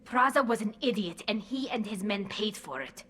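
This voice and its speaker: An actress playing an earnest, principled young alien engineer in a sci-fi game, speaking with passion. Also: female voice, medium pitch, expressive, moderate pace, fairly bright tone, slight background noise, close to an everyday voice. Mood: indignant. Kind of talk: cinematic sci-fi game dialogue.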